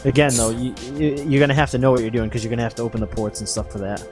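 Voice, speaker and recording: Male podcast host, mid-pitched, casual and explanatory, somewhat halting, music underneath. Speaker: podcast host reading update news. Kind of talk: casual explanatory podcast talk about game updates.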